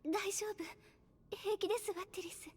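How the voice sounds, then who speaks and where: A woman's voice: extremely high pitch, steady tone, normal speed, a little mellow, slight background noise. A woman voicing a refined, composed young noblewoman with polite, ladylike speech in an anime game, over music. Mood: reassuring. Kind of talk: Japanese anime game voice acting. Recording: music behind the voice.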